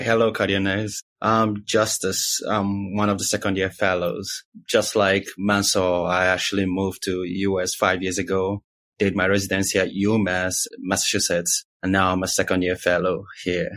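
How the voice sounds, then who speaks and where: Deep, flat-voiced man, dry sound. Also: moderate pace, no echo. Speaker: cardiology fellow, guest.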